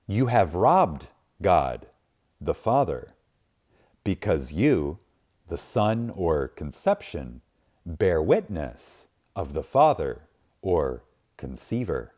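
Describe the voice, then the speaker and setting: A man reading a spiritual book aloud in a solemn, reverent manner, with deliberate pauses and emphasis. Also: low voice, big pitch swings, darkish tone. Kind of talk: reverent scripted reading, audiobook-like.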